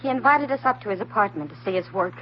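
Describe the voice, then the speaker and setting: A woman playing a frightened, naive and anxious woman in an old-time radio drama, speaking haltingly and earnestly. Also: mid-range voice, animated, close to an everyday voice. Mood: shaken, hesitant. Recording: dull, slightly noisy old radio recording.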